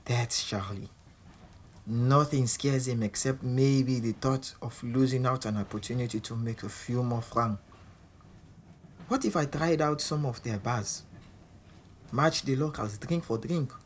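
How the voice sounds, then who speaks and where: A man playing a cocky champion boxer tells his story in an audio drama. He is proud, defiant and wry, with a mid-pitched voice. Background noise and ambience sit behind him. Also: unhurried, natural voice. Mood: wry narrating. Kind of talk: audio drama monologue and dialogue.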